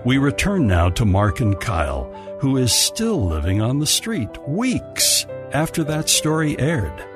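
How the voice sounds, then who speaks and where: A man's voice: medium pitch, huge pitch swings, unhurried, few pauses, over music. A man narrating a radio drama from a script as a storyteller.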